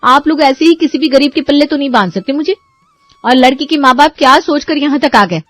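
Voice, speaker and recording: A woman's voice: high-pitched, a little fast, natural voice. A woman voicing a picky, haughty young unmarried daughter in a cartoon story. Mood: haughty, indignant. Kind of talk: Hindi cartoon story voice acting.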